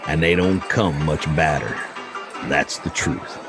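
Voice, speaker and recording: A man's voice: very deep voice, over music. A man voicing a cantankerous old Wild West storyteller with a wheezy, folksy delivery, in an audiobook reading. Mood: relishing the tale. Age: older. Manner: folksy, gruff, drawn-out yarn-spinning.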